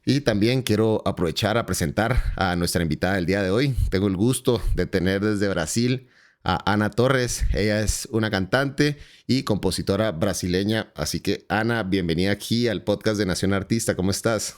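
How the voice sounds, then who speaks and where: A podcast host with a deep, low-pitched voice welcomes listeners and interviews his guest. He talks in a friendly, wordy way, often doubling back on his words. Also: moderate pace.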